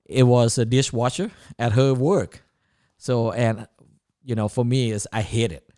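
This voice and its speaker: A man's voice: mid-range voice, a little slow, dark tone. A man of about fifty from Thailand, with a Thai accent and non-native English grammar, chatting casually about himself as a guest. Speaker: podcast guest, chef. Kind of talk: casual autobiographical chat.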